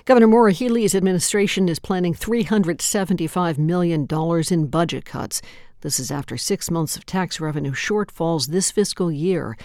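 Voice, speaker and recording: A woman hosting a local radio newscast, reading stories in a steady, measured way. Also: deep voice, vocal fry, dry recording, clean studio sound. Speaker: local news host. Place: radio studio.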